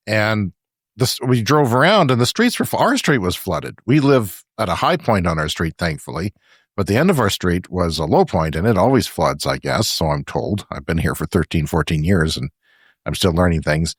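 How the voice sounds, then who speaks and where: A man co-hosting a podcast in casual chat, talkative and quick to laugh. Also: deep voice, big pitch swings, slightly rough, dry recording, clean studio sound. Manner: chatty, laughs often between remarks. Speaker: podcast co-host. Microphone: remote podcast call.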